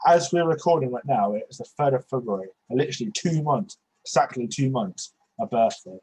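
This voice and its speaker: A man's voice: mid-range voice, expressive, fairly quick, dark tone, slight background noise, rough recording. A man, hosting a podcast over a video call from a small bedroom on a headset, rambling with many restarts. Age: 20s. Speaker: podcast host. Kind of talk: casual video-call chat.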